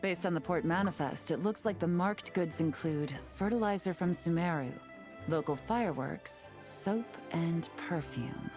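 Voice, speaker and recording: A woman's voice: lowish voice, darkish tone, soft background music, lightly acted. A woman playing a poised, shrewd investigator in a video game, speaking with composed, confident polish. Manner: composed, measured, coolly confident. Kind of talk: video game character voice acting.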